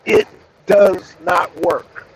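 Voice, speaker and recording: Raspy high-voiced man streaming live. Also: wildly swooping pitch, very slow, no room sound, rough recording. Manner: rambling, repeats phrases, greets viewers by name. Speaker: streamer hosting a wrestling show. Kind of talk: casual live-stream talk to chat.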